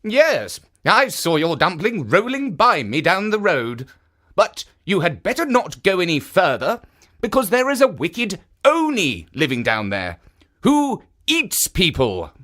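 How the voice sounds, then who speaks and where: A kindly stone monk statue from a storybook, voiced by a man. The voice sits high and speaks slowly. It is polite and careful, with a cautioning tone and lilting pitch. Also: huge pitch swings, a bit bright, exaggerated voice. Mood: warning.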